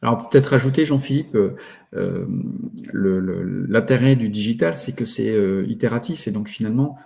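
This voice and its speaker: A man presenting a webinar in a didactic, halting manner, laying out points one by one. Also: lowish voice, gravelly, darkish tone. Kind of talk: explanatory webinar talk.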